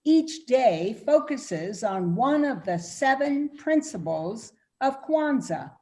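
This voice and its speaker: Woman playing a slow, high-voiced explainer. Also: big pitch swings, a little mellow, full character voice. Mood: explanatory. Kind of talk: amateur radio-play reading.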